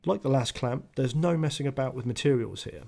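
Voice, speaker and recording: A man's voice: medium pitch, a little fast, creaky, a little mellow. A man giving a scripted voice-over tool review, matter-of-fact with dry, understated asides.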